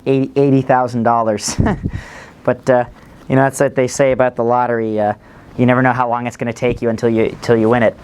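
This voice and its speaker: A man playing a boastful lottery scratcher regular who talks in a halting, chuckling way. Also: medium pitch, little pitch movement, a little mellow, slight background noise, close to an everyday voice.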